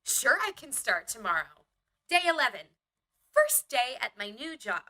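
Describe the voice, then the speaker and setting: A woman's voice: fairly high pitch, sing-song, normal speed, bright, forceful tone, full character voice. A woman playing a naive, eager small-town young woman in a motion comic, telling her story in a chatty, wry diary style. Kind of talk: motion comic narration and dialogue.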